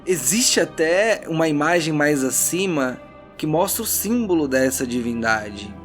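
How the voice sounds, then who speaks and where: A man's voice: on the high side, lively intonation, unhurried. A Brazilian man running a tabletop role-playing game as narrator, mixing theatrical, suspenseful storytelling with casual banter. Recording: loud background music under the voice.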